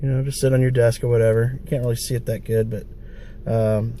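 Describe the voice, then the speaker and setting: Raspy-voiced man with a cold. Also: medium pitch, flat delivery, fairly quick, dark tone, a little hiss or hum. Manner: wry, rambling, full of fillers. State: hoarse from a cold. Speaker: thrift-hunting vlogger. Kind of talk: casual vlog chat. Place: outdoors by stores, street traffic.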